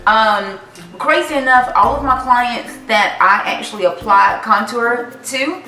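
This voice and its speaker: A woman from the Carolinas talking through her favorite makeup products in a chatty, animated vlog. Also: fairly high pitch, measured pace, far from the mic. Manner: chatty, animated, sassy asides. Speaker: makeup vlogger. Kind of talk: casual product review vlog. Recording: echoey room, voice off-mic, faint music.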